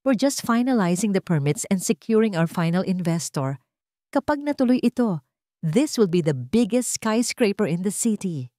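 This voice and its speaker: Woman as self-important young businessman, audio drama: boastful, mixing languages, widely swinging pitch. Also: lively intonation, normal speed, warm tone, no room sound, studio quality, natural voice. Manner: boastful, switches between languages.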